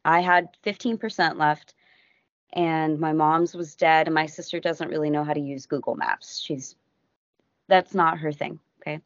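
An American woman, a podcast guest, telling a travel story in a casual, animated way that keeps breaking off and acting out what people said. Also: sits low, moderate pace, no room sound. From United States. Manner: animated, self-interrupting, acts out quotes. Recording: dull lo-fi audio.

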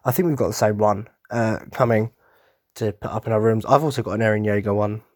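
A man chats enthusiastically on his podcast, his voice husky and worn from tiredness. He rambles with frequent ums and false starts, and his low voice sits close and dry with no room sound. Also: steady tone, fairly quick, darkish tone, no room sound. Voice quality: husky, throat-clearing. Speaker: podcast host. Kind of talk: casual fan chat.

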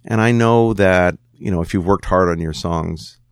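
Man in his sixties, low voice, dry close sound, candid reflective interview talk. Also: normal speed, clean tone, no room sound. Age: 60s. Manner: candid, musing, thinks aloud with restarts. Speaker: songwriter guest.

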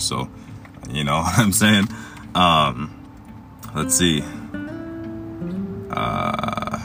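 Really deep voiced guy reading out loud n rambling, lots of ums, music under him. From Egypt. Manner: halting, many fillers and restarts while reading. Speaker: podcast host. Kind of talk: reading aloud and commenting in a solo podcast.

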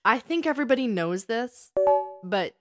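A woman's voice: fairly high pitch, lively intonation, normal speed, loud music behind the voice. A woman co-hosting a casual story-swapping chat with a friend, teasing playfully and often breaking into laughter as she talks. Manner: laughs often while talking. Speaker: podcast co-host.

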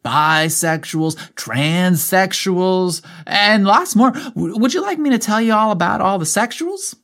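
Guy doing a little girl about ten, high pitched, cheerful chatty know-it-all, story reading. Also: lively intonation, a little slow, a bit bright, full character voice. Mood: cheerful, matter-of-fact. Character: cheerful, precocious ten-year-old girl, frank and chatty.

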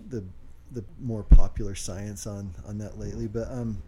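A man co-hosting a casual podcast, speaking quietly and hesitantly with lots of fillers. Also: on the low side, flat delivery, normal speed, slight background noise. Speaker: podcast co-host.

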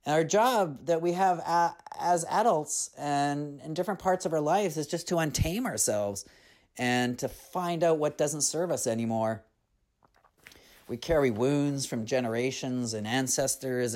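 An unhurried storyteller with an earnest, reflective manner. The delivery is slow and measured, suited to reading a folk tale and musing on it. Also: medium pitch, a little mellow. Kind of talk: scripted storybook narration with reflective commentary.

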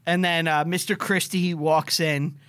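A man's voice: a little high, steady tone, a little slow, fairly bright tone. A man co-hosting a podcast, casually recapping a film with enthusiasm and frequent swearing. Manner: profane, enthusiastic retelling of scenes. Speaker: podcast co-host. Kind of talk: casual movie recap chat.

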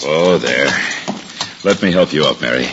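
A kindly biblical husband with a profoundly low voice, calm and protective in 1950s radio drama manner. It sits on a dull old recording with music underneath. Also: male voice, normal speed. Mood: reassuring. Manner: calm, reassuring.

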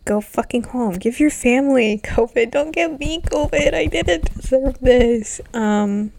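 A woman's voice: high-pitched, unhurried, creaky, a little mellow, a little hiss or hum, rough recording. A young woman in her twenties, stuffy and congested from being sick, rambling casually with lots of ums and some swearing. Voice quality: stuffy nasal. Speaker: vlogger. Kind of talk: casual personal chat.

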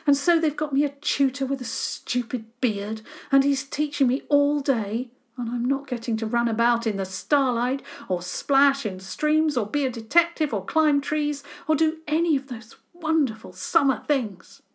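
A woman reading aloud, voicing an excitable, dramatic boy who hates his lessons, with an eager, high and breathless delivery. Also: moderate pace, hardly any pauses, close to an everyday voice. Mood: excited.

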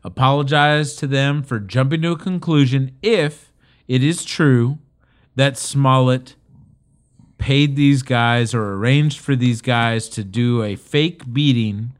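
A man's voice: medium pitch, slow. A man co-hosting a casual talk show, argumentative and joking, repeating his points for emphasis. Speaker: podcast co-host. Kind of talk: casual political banter.

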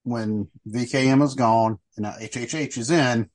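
Middle-aged male podcast host, casual and rambling, lots of ums and restarts, mid-pitched voice. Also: normal speed, darkish tone. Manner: rambling, many fillers and restarts. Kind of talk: casual chat.

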